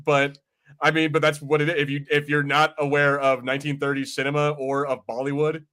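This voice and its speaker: A man hosting a casual pop-culture podcast, chatting in an animated way and arguing his point with casual swearing. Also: on the high side, fairly quick, clear, resonant tone. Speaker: podcast host.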